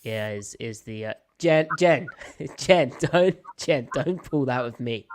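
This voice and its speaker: Young British male podcast host, smooth-voiced, a bit snuffly, playful and opinionated. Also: medium pitch, lively intonation, slow. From United Kingdom. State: slight head cold, snuffly. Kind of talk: casual live podcast chat.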